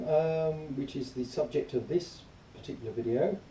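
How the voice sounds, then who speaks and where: A man's voice: on the high side, moderate pace, mellow. A man giving a casual, plain-spoken and disgruntled product review to camera. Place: small enclosed room indoors. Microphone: camera mic some distance away. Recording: roomy, distant camera mic, faint noise.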